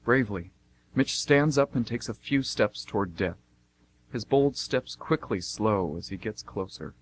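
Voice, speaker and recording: A man's voice: lowish voice, lively intonation, unhurried, slight background noise. A man playing a solemn narrator, reading a suspenseful scripted amateur radio drama.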